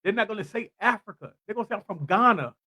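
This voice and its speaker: A man with a high voice leads a casual panel discussion. He is insistent and keeps pressing with questions, circling back to repeat his point. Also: lively intonation, fast talker, bright, forceful tone. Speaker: podcast panel host.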